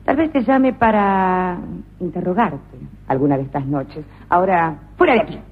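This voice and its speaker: A woman's voice: fairly low pitch, animated, moderate pace, breathy edge. A performer voicing a cruel, sadistic woman who runs a prison, domineering and scornful, in a comic radio play. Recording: tinny lo-fi radio recording.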